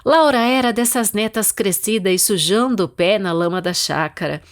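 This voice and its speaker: A Brazilian woman narrates a short story in a mid-range voice. Her phrasing is deliberate and expressive, in the style of a dramatized literary reading. Music runs underneath her narration. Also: medium pitch.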